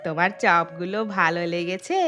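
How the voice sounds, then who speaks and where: A woman's voice: fairly low pitch, fairly even intonation, normal speed, bright, forceful tone. A woman voicing an affectionate, coy village housewife in a cartoon story, homely in manner. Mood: happy, affectionate. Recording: music clearly under the voice.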